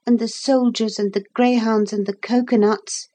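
A woman's voice: a little high, fairly even intonation, normal speed, mellow, pitched above the performer's own voice, close to an everyday voice. A woman voicing a young boy in a storybook, earnest, curious and polite, with an eager, questioning way of talking. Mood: lonely, wondering. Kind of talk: storybook character voice in a read-aloud.

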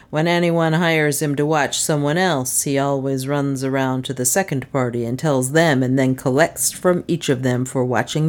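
Gruff male detective as acted by a woman: low, unhurried, bullying and sarcastic, for a mystery audiobook. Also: darkish tone. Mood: suspicious. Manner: gloating, badgering.

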